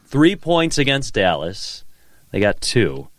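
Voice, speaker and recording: A man's voice: mid-range voice, sing-song, slow, fairly bright tone, no echo. A man co-hosting a podcast, talking sports in a loose, rambling way and repeating words as he thinks. He sounds stuffed up from a cold. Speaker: podcast co-host. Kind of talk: casual sports chat.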